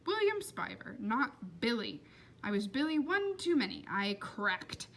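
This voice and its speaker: A man's voice: extremely high pitch, big pitch swings, measured pace, fairly bright tone, slight background noise, heavily acted. A woman voicing an earnest, tearful boy with wounded pride, speaking in precise, formal phrases for a storybook. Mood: wounded, sorrowful.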